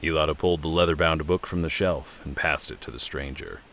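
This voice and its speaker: Very deep, gravelly male fantasy narrator. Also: a little fast, fairly bright tone, slight background noise. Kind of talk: dramatic scripted fantasy narration.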